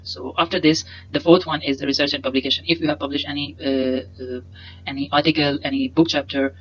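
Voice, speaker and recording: A young man whose first language is Punjabi, giving a webcam lesson and explaining slides in a halting way, full of fillers and repeated words. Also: on the high side, little pitch movement, fairly bright tone, lo-fi sound, faint music behind. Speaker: instructor. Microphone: webcam in a corner inset.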